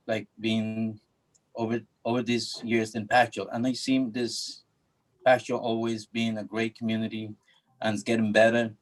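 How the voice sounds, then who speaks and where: A man's voice: medium pitch, poor audio. A middle-aged man from Ecuador, a native Spanish speaker with an Ecuadorian accent, making informal personal remarks at a remote public meeting.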